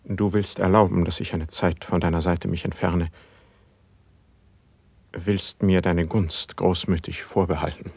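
A brooding, humble yet insistent poet in a classic verse radio drama. The voice is low and husky, recorded very dry. The lines are declaimed with solemn, formal fervor. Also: male voice, flat delivery, unhurried, darkish tone, no room sound, exaggerated voice. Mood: grateful, solemn.

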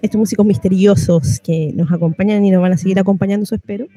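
Female community radio host, Chilean accent, medium pitch, informal and familiar with the audience. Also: steady tone, fast talker, mellow, soft background music. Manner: colloquial, addresses listeners familiarly.

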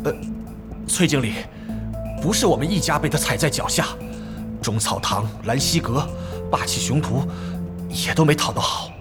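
A man's voice: medium pitch, animated, moderate pace, loud music behind the voice, lightly acted. A man playing an anxious, deferential guild officer giving earnest reports in a Mandarin audio drama. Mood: uneasy reporting.

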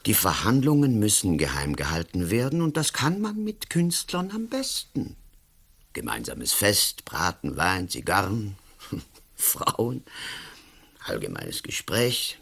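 Radio play: smug, blustering male politician, lowish voice, very animated intonation, condescending and emphatic. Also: slow pace, gravelly, breathy edge, exaggerated voice. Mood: sly, cynical. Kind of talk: German-language radio drama acting.

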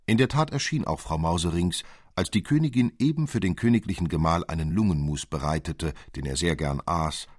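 A man reads a classic fairy tale with dramatic, articulate expression. His voice is deep and slightly husky, recorded dry and close. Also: a little fast, dry recording. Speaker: narrator, audiobook reader.

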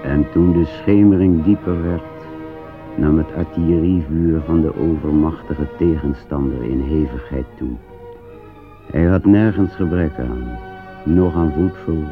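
A man narrating a war drama for radio in a grave, measured, declamatory manner. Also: very deep voice, narrow, tinny audio. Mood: grave, solemn. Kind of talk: solemn scripted radio play narration. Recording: phone-thin old radio recording. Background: music.

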